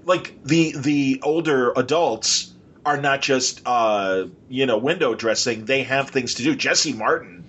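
A man's voice: mid-range voice, measured pace, a bit bright, breathy edge, lo-fi sound. A man hosting a podcast, chatting casually and enthusiastically in an informal, rambling way. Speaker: podcast host.

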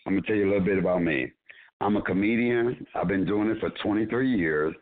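Deep-voiced joking man on a phone line. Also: quick pace, slight background noise. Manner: playful, teasing, laughs often. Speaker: comedian guest. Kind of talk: joking radio chat.

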